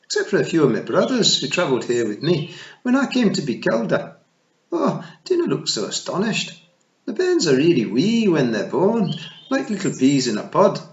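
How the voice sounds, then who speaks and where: A tiny, shrewd old pixie queen with a broad Scottish burr, as a male reader puts her on, her voice pitched high and swooping as she teases and gives orders. It sounds like a storybook read aloud in a roomy space, the recording slightly dull. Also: huge pitch swings, normal speed. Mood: fond. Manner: warm, teasing and commanding. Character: tiny old dying female pixie chieftain, shrewd and wry. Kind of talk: storybook reading.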